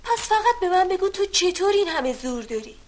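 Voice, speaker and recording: A woman plays a tiny, earnest sparrow in a children's storybook tale, calling out her questions in a high voice. Every line is eager. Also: very animated. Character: small bold pleading sparrow. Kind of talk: children's audio story acting.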